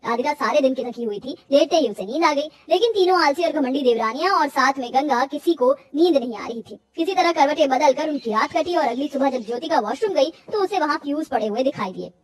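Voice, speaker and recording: Female storyteller, very high pitch, scripted cartoon moral-story narration.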